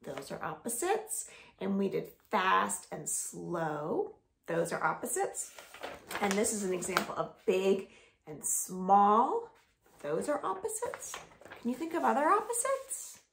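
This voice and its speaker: Slow, lively woman hosting children's storytime, echoey room. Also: medium pitch, gravelly, a little hiss or hum. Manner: slow, simple questions addressed to small children. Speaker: children's library storytime host. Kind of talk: storytime for young children, singing and reading aloud. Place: at home, indoors.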